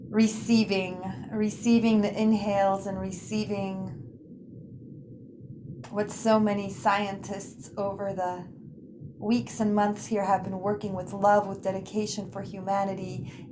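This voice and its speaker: A woman leading a guided relaxation practice in an unhurried, soothing manner, pausing often between phrases. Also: mid-range voice, noisy background. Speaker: guided meditation teacher. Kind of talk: guided yoga nidra relaxation.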